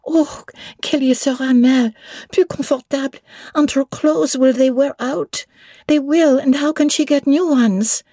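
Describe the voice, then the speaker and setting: Small girl, high-pitched, pert, practical, argumentative, classic audiobook drama, close dry sound. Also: fairly even intonation, measured pace, dark tone, no room sound. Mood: fretful. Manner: pert, matter-of-fact, questioning.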